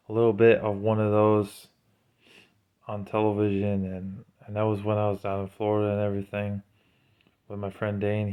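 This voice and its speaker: Guy with a lowish voice doing a casual preachy vlog, earnest and kinda warning people. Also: darkish tone, smooth. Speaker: vlogger giving religious commentary. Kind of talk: informal sermon-like monologue.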